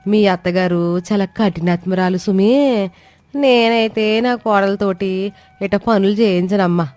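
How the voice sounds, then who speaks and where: Woman acting a nosy, slow-drawling older neighbour. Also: mid-range voice, steady tone, darkish tone, dry recording, lightly acted. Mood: mock sympathy. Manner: drawn-out mock sympathy. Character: nosy, two-faced older neighbour woman, falsely sympathetic. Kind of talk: acted dialogue in an animated moral story. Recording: music behind the voice. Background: music.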